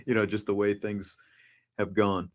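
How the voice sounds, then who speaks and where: Middle-aged guy hosting a sports call-in style show, kinda low voice, jokey, talks with his hands. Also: a little slow. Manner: joking, animated, gestures while talking. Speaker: show host. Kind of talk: casual sports talk. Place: home studio with framed pictures. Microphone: large studio mic with headphones, video call.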